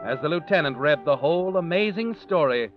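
An actor playing an ominous, all-knowing narrator in a 1940s radio mystery, telling the tale in a dramatic, deliberate way. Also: male voice, fairly high pitch, lively intonation, natural voice. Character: ominous omniscient male narrator of a murder mystery. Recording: muffled vintage radio recording with music. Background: dramatic music.

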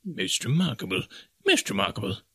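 A man's voice: mid-range voice, huge pitch swings, brisk, sharp tone, pitched above the performer's own voice, full character voice. A man voicing a pompous, absent-minded old professor in an audiobook story, fussy and pedantic, repeating himself. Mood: amazed muttering. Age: older.

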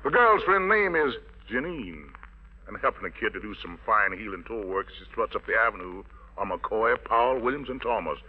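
A man's voice: lowish voice, sing-song, normal speed. A man hosting a 1940s radio variety show, announcing in showy, flowery jive slang on a tinny old recording. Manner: flowery hep-talk patter, showy. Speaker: radio show host, emcee.

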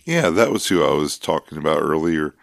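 Deep-voiced joking man, dry close sound. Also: expressive, fairly quick, gravelly, no room sound. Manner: joking, crude banter. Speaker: podcast co-host. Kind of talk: casual chat.

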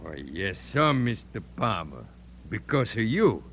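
An actor in an old radio drama playing a crooked saloon boss, smooth and obsequious to his betters, bossy to underlings. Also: lowish voice, sing-song, measured pace, a bit bright, exaggerated voice. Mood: fawning.

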